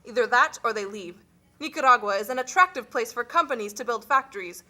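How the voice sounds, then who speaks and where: Casting: a woman as a formal puppet official, high bright voice, scripted explanatory delivery. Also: expressive, a little fast. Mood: matter-of-fact.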